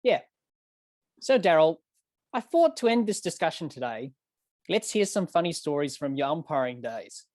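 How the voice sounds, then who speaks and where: Earnest podcast host, dry close sound. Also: male voice, on the high side, sing-song, normal speed, pauses often, a little mellow, no echo. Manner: earnest, slightly formal, with self-corrections. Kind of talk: interviewing, reading prepared questions.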